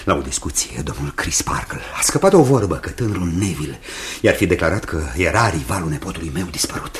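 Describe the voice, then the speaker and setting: An actor in a radio drama playing a menacing man obsessed with love, theatrical and intense, shifting from hushed to fierce. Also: low voice, very animated, slight background noise. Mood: cold, insinuating.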